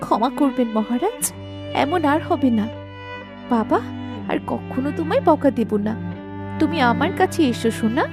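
A woman's voice: high voice, animated, normal speed, long pauses, over music. A woman voicing a haughty, scheming stepmother queen in a fairy-tale cartoon, commanding and two-faced. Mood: fake sweet, apologetic.

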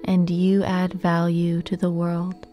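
A woman leading a guided meditation in a gentle, soothing, unhurried manner with long pauses. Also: on the low side, monotone, faint music behind.

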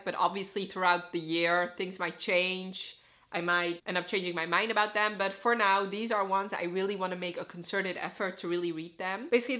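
A woman's voice: medium pitch, sharp tone. A young woman from the Netherlands whose first language is Dutch, chatting eagerly to camera about books she wants to read, with lots of "like" in her talk. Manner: chatty, enthusiastic, says "like" often. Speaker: book vlogger. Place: living room with full bookshelves, indoors.

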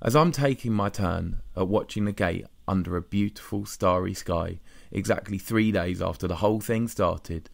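A man narrating an audiobook diary in the first person, expressive and measured, with short pauses between phrases. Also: low voice, gravelly, no echo. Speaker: audiobook reader.

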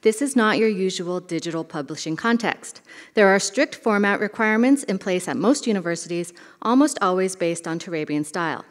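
A woman giving a short conference presentation from a lectern, in a brisk, plainspoken way. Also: mid-range voice, animated. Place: large hall, at a lectern. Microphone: podium microphone. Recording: hall reverb.

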